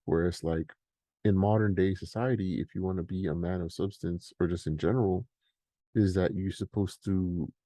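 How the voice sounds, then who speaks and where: A man's voice: deep voice, mellow, no echo. A young man co-hosting a podcast, talking in a loose, rambling way with lots of fillers. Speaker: podcast co-host. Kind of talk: casual commentary chat.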